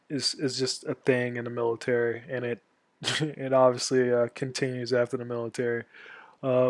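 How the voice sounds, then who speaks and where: A man in his twenties from Florida, an army veteran walking viewers through a tutorial in a casual, halting way, stuffed up from a cold. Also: medium pitch, no echo. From Florida, USA. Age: 20s. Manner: halting, many ums and restarts. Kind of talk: casual screen-share tutorial.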